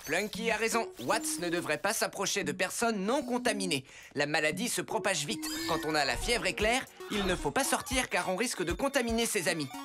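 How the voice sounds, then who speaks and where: A man's voice: sits high, expressive, brisk, hardly any pauses, over music. A man voicing a caring, knowledgeable teacher of young superheroes in a children's cartoon, explaining expressively. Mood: concerned, instructive. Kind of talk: animated children's cartoon dub.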